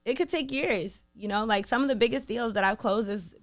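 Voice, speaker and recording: A young woman with a New York accent chatting casually as an interview guest, talking in an animated way with lots of "like" fillers. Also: on the high side, a little fast, soft background music. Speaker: guest, radio sales executive.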